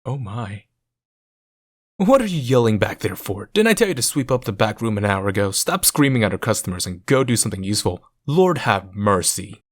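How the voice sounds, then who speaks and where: A man's voice: lowish voice, sing-song, a little fast. A man voicing an exasperated, bossy woman boss who scolds from a front desk, in a visual novel. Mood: exasperated scolding.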